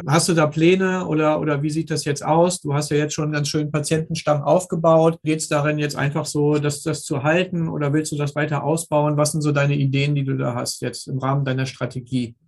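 A man with a very even, gravelly delivery and a muted, soft tone hosts a conversational interview. He speaks as a mentor, affirming and complimentary toward his guest. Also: a little high. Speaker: host, orthodontics mentor interviewing a colleague.

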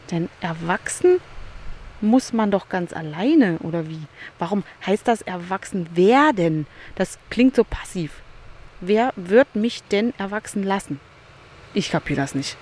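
A woman talking through her own thoughts in a solo podcast, candid and musing, often turning things into questions for herself. She records outdoors in a beach chair by the sea, in a medium-pitched, unhurried reflective voice. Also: a little mellow. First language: German. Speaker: podcast host.

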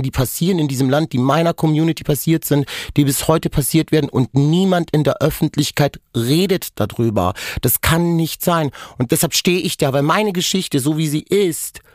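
A man's voice: a little high, dry recording, clean studio sound. A man in his forties, a native Romani speaker, speaking as a podcast guest and giving an impassioned, emphatic personal account in long, building sentences. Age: 40s. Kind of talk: personal testimony in conversation.

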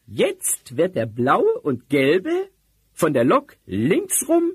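An actor playing an eager, clever youngster in a 1970s radio play, haltingly thinking aloud step by step. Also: male voice, a little high, wildly swooping pitch, a little hiss or hum, full character voice. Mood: concentrating, eager.

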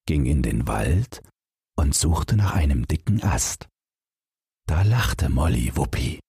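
Bedtime fairy tale narrator, man: unhurried, very husky voice with lively intonation. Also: a bass voice, no echo, clean studio sound. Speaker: audiobook narrator.

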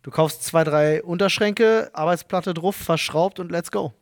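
A man co-hosting a podcast, animated and emphatic, cursing casually in chat. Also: a little high, measured pace. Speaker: podcast co-host.

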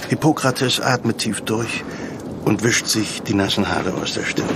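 An actor narrating a historical audio drama about an urgent ancient physician and voicing him, with a gravelly voice and storm effects behind. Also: male voice, fairly low pitch, measured pace, very noisy. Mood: atmospheric, grave. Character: Hippocrates, urgent, commanding ancient Greek physician, and his narrator. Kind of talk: scripted dramatic storytelling narration.

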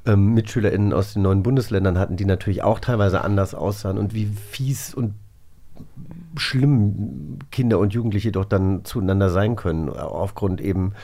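A man's voice: low-pitched, moderate pace, a little mellow. A middle-aged man chatting casually as an interviewer, adding his own thoughts before putting his questions. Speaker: podcast host.

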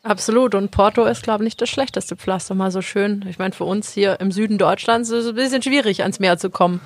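A man's voice: high voice, brisk. A man interviewing a guest in a friendly, chatty manner. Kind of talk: casual interview.

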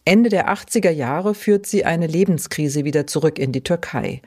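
A woman hosting a podcast, reading scripted narration in a clear, even way. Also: low voice, moderate pace. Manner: clear, even read-aloud delivery. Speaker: podcast host.

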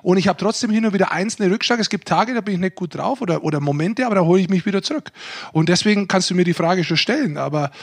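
A man with a high voice talks very quickly as a podcast co-host. He is direct and outspoken, challenging others in casual banter. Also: animated.

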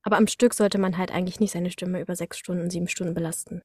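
A woman's voice: lowish voice, fast talker, breathy edge, no echo, clean studio sound. A woman explaining her work as a voice actor in casual conversation, acting out little moments with sound words as she goes. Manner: animated storytelling with little acted-out sound words. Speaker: voice actor, interview guest.

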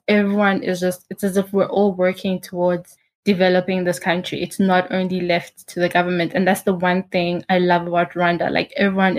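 Even-toned woman, measured. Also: on the low side. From South Africa. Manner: steady, measured, with frequent ums. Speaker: hotel marketing and communications manager. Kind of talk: casual interview answers.

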